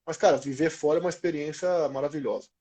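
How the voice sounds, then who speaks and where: A man's voice: a little high, fast talker, mellow. A man in his thirties with a Brazilian Portuguese accent, telling his experiences as an athlete in an informal, slangy interview chat. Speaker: former professional footballer being interviewed.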